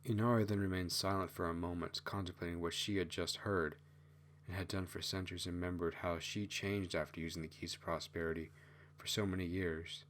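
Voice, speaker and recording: A man's voice: sits low, fairly even intonation, a little fast, a bit bright, slight background noise. A man reading his own fantasy novel aloud as a scripted audiobook narration.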